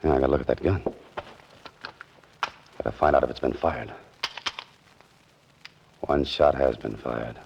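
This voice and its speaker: An actor playing a wry young fiction writer caught up in crime, in 1940s radio style with an American accent and a sardonic, hard-boiled delivery. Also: male voice, extremely low pitch, measured pace, creaky, long pauses, highs cut off, slight background noise, heavily acted. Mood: wry, brooding. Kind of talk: 1940s radio acting, first-person noir narration.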